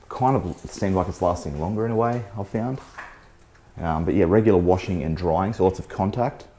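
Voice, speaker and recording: A man hosting a car detailing vlog, talking casually about a product test while losing his voice, a little hoarse, with plenty of "um" and "so yeah". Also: deep voice, slight background noise. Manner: relaxed, chatty, frequent "um" and "so yeah". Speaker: car detailing channel host. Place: outdoors beside a test panel.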